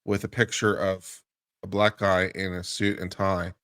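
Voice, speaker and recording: A man with a fairly low voice works as a legal commentator. He reads formal legal text aloud in a dramatic way and drops into casual, incredulous, salty asides. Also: level tone, measured pace, a bit bright. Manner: profane, incredulous asides between formal read passages.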